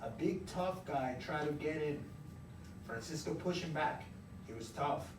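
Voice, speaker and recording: High-voiced male teacher in a noisy, echoing room. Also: measured pace, distant mic. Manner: expressive, emphatic, encouraging with pupils. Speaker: elementary teacher reading a storybook aloud to a class. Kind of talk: interactive read-aloud, storytelling and questioning children. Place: indoor classroom. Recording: roomy, noisy classroom, recorded from afar.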